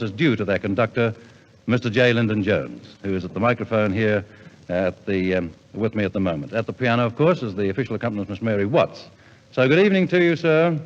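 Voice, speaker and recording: A man's voice: medium pitch, in a large hall. A man hosting a live 1950s radio variety show with effusive showmanship, on an old broadcast recording. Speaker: radio host and compere. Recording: dull 1950s radio recording, music and crowd.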